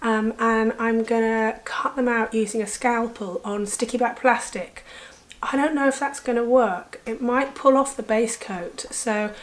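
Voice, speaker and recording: A woman with a hoarse, half-lost voice, chatting casually in a video diary about painting her bike, with frequent ums. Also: on the high side, fairly even intonation, a little slow. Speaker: home bike painter vlogging.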